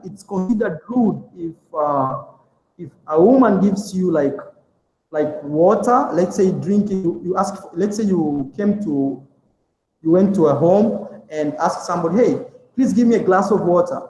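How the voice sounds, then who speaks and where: High-voiced man in an echoey room. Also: lively intonation, measured pace, a little mellow. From Kenya. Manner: rambling with many fillers and restarts. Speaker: interview guest. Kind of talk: casual interview chat. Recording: echoey room with long reverb.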